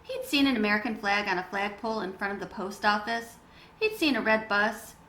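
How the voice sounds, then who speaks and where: A woman reading a children's story aloud in an expressive, emphatic, teacherly style. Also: medium pitch, fairly quick. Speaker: children's read-aloud reader. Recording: roomy echo with background noise.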